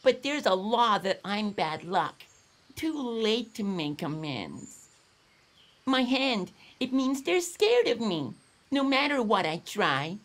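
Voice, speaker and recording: A man's voice: high voice, sing-song, a bit bright, voice pushed up, full character voice. A man voicing a naughty, misunderstood lemur in a plaintive, moaning storybook voice. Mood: remorseful, sad. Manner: plaintive, moaning and exclaiming, drawn-out. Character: naughty, misunderstood male lemur, mopey and indignant.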